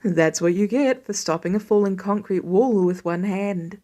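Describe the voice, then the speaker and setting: A woman's voice: mid-range voice, normal speed, pitched below the performer's own voice, natural voice. Woman doing a protective dad in a story, patient, sympathetic, teases a little. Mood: gently teasing. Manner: sympathetic, gently teasing. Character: patient, wry, protective adult father.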